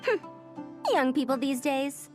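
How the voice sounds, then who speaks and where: A woman's voice: high-pitched, expressive, a little slow. A woman voicing a proud, fussy, youthful-looking senior scholar who lectures emphatically in an anime-style video game. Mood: lecturing. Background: background music.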